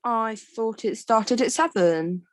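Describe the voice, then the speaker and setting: Woman doing a confused casual wolf puppet, slow, fairly high, dry sound. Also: dry recording. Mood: mildly confused. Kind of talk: comedy puppet show acting. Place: video call.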